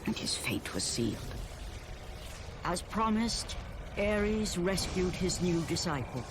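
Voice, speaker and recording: A man's voice: high-pitched, sing-song, slow, noisy background, full character voice. A man acting as a solemn, portentous narrator of an ancient Greek tragedy in a video game. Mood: solemn, dramatic. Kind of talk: dramatic scripted narration of a mythic tale. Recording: orchestral music behind.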